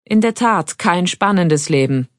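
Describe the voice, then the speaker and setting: An actress in her thirties playing a pharmacist guest on a radio show, speaking clearly and matter-of-factly. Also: on the low side, lively intonation, measured pace, no room sound, clean studio sound. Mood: earnest. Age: 30s. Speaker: studio guest. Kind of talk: scripted exam dialogue.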